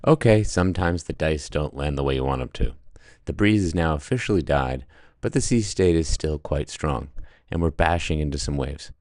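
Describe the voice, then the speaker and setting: A man narrating his solo sailing trip in a dry, deadpan voice-over with wry humor. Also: extremely low pitch, moderate pace. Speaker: solo sailor vlogger.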